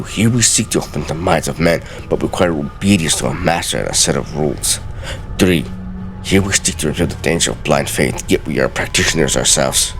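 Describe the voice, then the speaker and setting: A man giving let's play game commentary and dramatically reading in-game texts aloud in a theatrical, deliberate way. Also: deep voice, creaky, a little hiss or hum.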